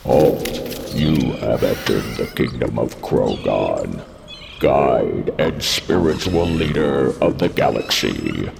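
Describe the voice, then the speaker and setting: An actor playing a pompous alien king who booms slow, grandiose proclamations. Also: lively intonation, background noise, full character voice. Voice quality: deep gravelly grandiose voice. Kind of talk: comedic sci-fi radio serial acting.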